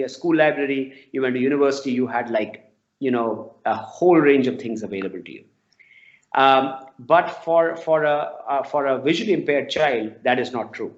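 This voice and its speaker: A man in his forties with an Indian accent answers interview questions with anecdotes, often repeating words as he explains. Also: medium pitch. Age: 40s. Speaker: interviewee, storytelling non-profit founder. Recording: dull lo-fi audio.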